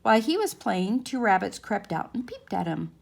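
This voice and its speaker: A woman narrating a children's storybook as a story time reader, in a measured, expressive way. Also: mid-range voice, creaky.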